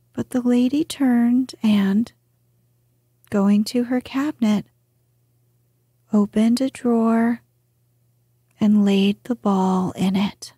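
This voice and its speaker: A woman narrating a storybook in a soft whisper. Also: fairly high pitch, breathy edge, dry recording, studio quality.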